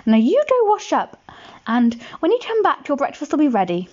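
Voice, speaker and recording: A woman doing a bustling, fretful giant's wife from a bedtime story, her voice pushed up high and fussy. The sound is dull and lo-fi. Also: wildly swooping pitch, normal speed, a little mellow. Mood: fussing. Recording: dull lo-fi recording.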